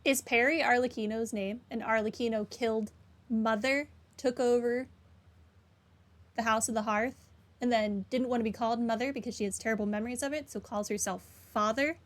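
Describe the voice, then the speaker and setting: A woman's voice: fairly high pitch, fairly even intonation, normal speed. A woman giving casual, chatty and wry commentary as she plays a video game. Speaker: gaming streamer.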